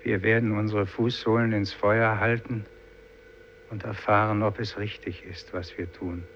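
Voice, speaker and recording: A solemn, doomed fisherman in an old German radio play. His voice is low and flat as he declaims a litany. The recording is muffled with some hiss. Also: measured pace. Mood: solemn, resolute. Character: doomed, solemn male fisherman chanting a vow. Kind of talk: 1950s German radio drama acting.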